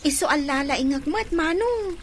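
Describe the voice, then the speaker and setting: A woman's voice: high-pitched, lively intonation, moderate pace, slight background noise. A woman playing a cheerful, eager young child in an Ilocano radio drama.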